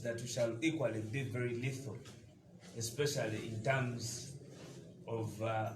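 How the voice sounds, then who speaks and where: A man's voice: fairly low pitch, far from the mic. Ugandan man giving a fiery press statement. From eastern Uganda. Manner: emphatic, combative speechmaking with frequent hesitations. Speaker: party deputy spokesperson. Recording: echoey room picked up from afar, noisy.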